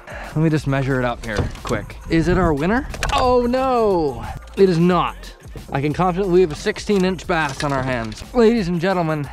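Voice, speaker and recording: Goofy young man fishing. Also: on the high side. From Canada. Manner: goofy, self-mocking, excitable. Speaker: fishing vlogger. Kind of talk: casual self-filmed commentary. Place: small boat on a lake outdoors. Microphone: action camera.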